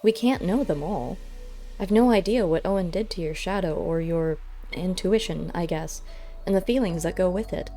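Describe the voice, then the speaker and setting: Woman as a low, level-headed animal investigator. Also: normal speed, mellow, soft background music, close to an everyday voice. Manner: matter-of-fact, questioning. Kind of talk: audiobook character voice.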